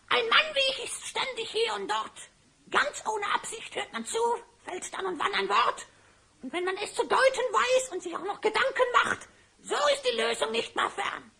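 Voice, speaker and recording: A sly hyena trickster in a children's radio play. The voice is extremely high and bright, with heavy creak. He is smug and cackling and speaks in sing-song rhymes. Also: expressive, measured pace, exaggerated voice, low-quality recording. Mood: smug, gleeful. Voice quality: creaky, shrill. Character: sly male hyena spy, swindling rhyming cutpurse.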